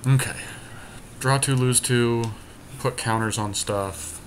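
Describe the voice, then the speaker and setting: A man's voice: medium pitch, fairly even intonation, slow pace. A man giving casual, slangy commentary on a game, thinking aloud as he goes. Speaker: podcast host playing a card game. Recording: clear background noise.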